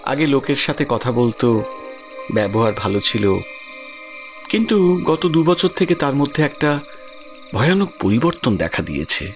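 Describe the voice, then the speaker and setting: An actor playing a worried village man in an audio drama, earnest and expressive as he talks. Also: mid-range voice, measured pace, pauses often, faint music behind. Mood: troubled, earnest. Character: worried village man recounting his cousin's frightening affliction.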